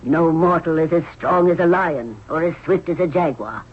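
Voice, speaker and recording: A woman's voice: sits low, slightly rough. Imperious Egyptian queen mother. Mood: firm. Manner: imperious, measured, formal. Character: stern, commanding queen mother of ancient Egypt. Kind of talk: 1970s radio drama acting. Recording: muffled, noisy old radio recording.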